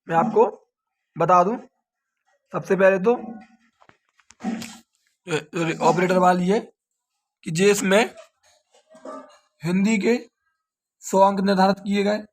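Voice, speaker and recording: A man's voice: sits high, fairly even intonation, takes it slowly, pauses often. A man, an exam coaching teacher explaining details informally and repeating key points as questions and answers.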